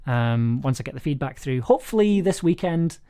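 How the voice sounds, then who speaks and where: Guy hosting a stream, medium pitch, chatty and gushing, kinda rambly. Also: lively intonation, brisk, mellow, clear, resonant tone. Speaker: host, audio drama writer and producer. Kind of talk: casual livestream chat. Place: remote online call on a livestream.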